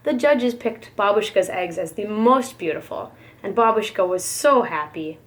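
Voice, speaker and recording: A woman preschool teacher reading a picture book to young children in an expressive, careful storytelling manner. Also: medium pitch, moderate pace.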